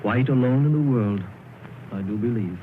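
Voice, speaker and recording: An actor playing a gentle husband in a 1930s radio drama, quiet and matter-of-fact. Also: male voice, mid-range voice, normal speed, lightly acted. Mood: calm. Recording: tinny 1930s radio with hiss.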